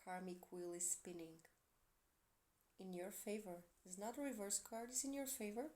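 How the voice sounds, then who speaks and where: A woman's voice: medium pitch, normal speed. Portuguese-accented woman giving an earnest tarot reading. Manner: earnest and encouraging, with soft, quiet asides. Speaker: tarot reader. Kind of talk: tarot reading for a zodiac sign.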